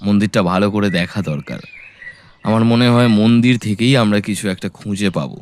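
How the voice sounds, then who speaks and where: A man acting a sharp, resolute detective in an audio drama, speaking firmly and deliberately as he reasons aloud. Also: on the low side, lightly acted. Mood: pensive, resolute. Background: background music.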